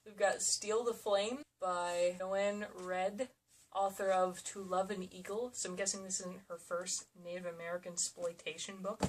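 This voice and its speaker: A woman's voice: medium pitch, fairly even intonation, moderate pace. A woman co-hosting in casual comedic banter, joking and irreverent. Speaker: co-host commenting on a book. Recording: noisy amateur video sound.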